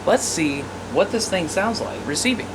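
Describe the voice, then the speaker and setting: A man's voice: high voice, expressive, normal speed, background noise. An American man giving a casual, plain-spoken hands-on gear demonstration as an informal presenter. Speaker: radio hobbyist presenter.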